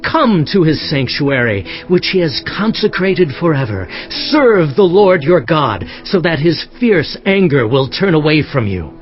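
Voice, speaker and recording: Man acting an earnest, declamatory biblical king, higher-voiced. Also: big pitch swings, a little slow, few pauses. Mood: urgent exhortation. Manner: declamatory, exhorting. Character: earnest biblical king proclaiming to his people. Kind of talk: dramatized scripture reading, solemn proclamation. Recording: slightly muffled, faint music underneath.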